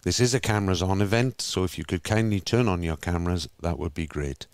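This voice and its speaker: A Welsh man opens a video call meetup in a very low voice. He reads a steady, scripted welcome and catches his small slips as he goes. The sound is dry, with no room around it. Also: a little fast, clean tone, no echo. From Wales. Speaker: host.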